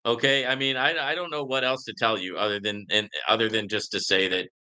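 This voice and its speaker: Bright, forceful man hosting a casual livestream. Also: lowish voice, fairly even intonation, a little fast, clear, resonant tone. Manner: conversational, repeatedly checks in with "right?". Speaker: livestream host answering viewer questions. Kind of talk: casual live Q&A monologue, reading comments aloud.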